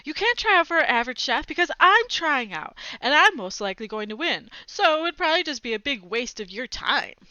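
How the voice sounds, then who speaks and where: A woman's voice: sits high, very animated, a little fast, hardly any pauses, sharp tone, dry recording, muffled recording, pitched above the performer's own voice, heavily acted. A woman voicing an insistent, easily embarrassed young boy who blurts out boasts in a children's storybook. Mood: defiant, boastful.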